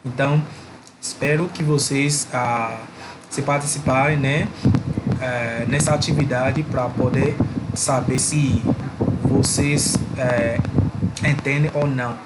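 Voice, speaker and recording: A male teacher wrapping up a recorded online lesson, speaking hesitantly with frequent pauses and fillers. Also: medium pitch, fairly even intonation. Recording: loud background noise with clicks.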